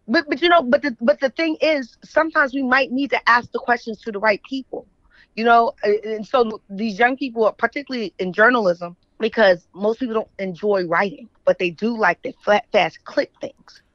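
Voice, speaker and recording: A woman's voice: a little high, moderate pace, fairly bright tone, dry recording, dull audio. An American woman speaking as an interview guest, casual and opinionated, often checking in with the listener. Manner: opinionated, frequent checking tags like "right?" and "you know what I'm saying". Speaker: guest, author and journalist. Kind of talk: casual conversational interview talk.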